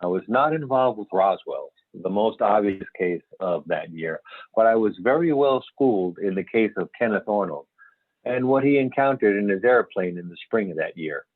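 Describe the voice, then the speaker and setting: Low-voiced man playing a veteran astronomer, phone-like sound. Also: lively intonation, normal speed, dry recording. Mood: candid, wry. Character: veteran male astronomer turned UFO investigator, folksy and candid. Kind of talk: amateur readers theater, scripted interview reminiscence. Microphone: phone or videoconference call. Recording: band-limited phone-like conference call audio.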